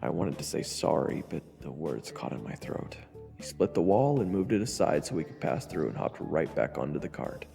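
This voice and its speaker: A man's voice: a bass voice, expressive, normal speed, gravelly, warm tone, big-room sound, heavily acted. A man playing a weary adventurer who narrates his own journey in a scripted first-person fantasy story. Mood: weary, reflective. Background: background music.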